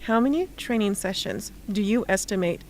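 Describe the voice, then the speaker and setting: An actress playing a stern, unyielding rebel leader in an amateur radio play, with a formal, clipped, official delivery. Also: female voice, mid-range voice, lively intonation, moderate pace, close to an everyday voice. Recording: noisy amateur recording.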